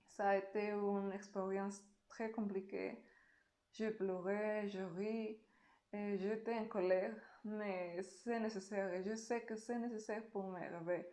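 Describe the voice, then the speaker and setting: A woman with a Mexican Spanish accent answers interview questions in a second language. She speaks very slowly and carefully, with pauses. Her voice sounds echoey, as if recorded in a bare room. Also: mid-range voice, fairly even intonation, warm tone. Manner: slow, careful speech with pauses.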